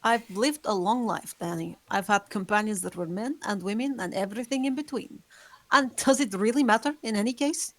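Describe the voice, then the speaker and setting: A woman playing an ancient, seductive, world-weary female vampire in a table read, with formal, deliberate phrasing. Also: mid-range voice, a bit bright, no room sound, lightly acted. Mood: wistful, brooding. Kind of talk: scripted drama table read.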